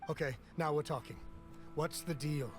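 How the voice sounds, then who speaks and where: A man's voice: a little high, moderate pace, close to an everyday voice. An actor playing a firm, pragmatic crook who keeps his gang in order in a video game. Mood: businesslike. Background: music.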